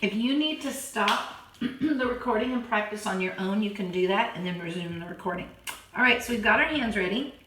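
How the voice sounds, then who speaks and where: A hoarse woman who keeps clearing her throat, patiently teaching a children's keyboard lesson and counting beats aloud. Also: mid-range voice, expressive, brisk, noisy background. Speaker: piano teacher. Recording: roomy, from a distance. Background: keyboard playing.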